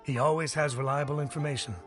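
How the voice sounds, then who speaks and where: A man's voice: fairly high pitch, fairly even intonation, fast talker, over loud music, natural voice. A man voicing a sceptical, scornful villager in a video game, talking in a dry, informal, dismissive way. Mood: mocking disbelief. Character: scornful, sceptical male villager sharing gossip.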